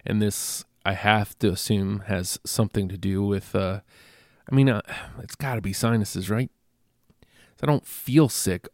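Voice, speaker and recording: A man's voice: lowish voice, steady tone, measured pace, a little mellow, no echo. A congested man hosting a casual solo podcast, rambling and self-deprecating, with many fillers and restarts, close to the microphone. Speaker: podcast host.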